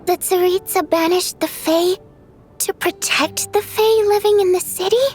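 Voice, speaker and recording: A woman's voice: extremely high pitch, unhurried, darkish tone. A woman voicing an earnest, tender-hearted young girl, innocent and thoughtful, in a video game dub. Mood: pensive, questioning. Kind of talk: English game dub voice acting. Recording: music under the voice.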